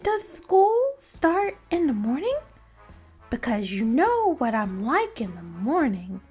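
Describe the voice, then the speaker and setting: A woman's voice: a little high, very animated, slow pace, darkish tone, husky, faint music behind, pitched below the performer's own voice, full character voice. A woman voicing an anxious, dramatic, childish cartoon pigeon in a whiny, exclamatory way for a storybook read-aloud. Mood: pleading. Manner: exclamatory, fretful, theatrical.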